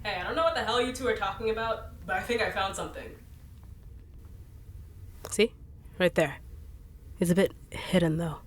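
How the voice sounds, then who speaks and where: A woman's voice: medium pitch, moderate pace, long pauses, slightly rough. A woman voice actor performing a no-nonsense character in an acted audio roleplay, blunt and sarcastic.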